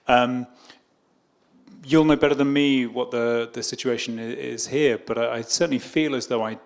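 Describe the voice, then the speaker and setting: A man's voice: mid-range voice, steady tone, moderate pace, gravelly. A middle-aged British man, a diplomat, answering audience questions in a hesitant way, often restarting and repeating words. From Britain. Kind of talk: public Q&A answers.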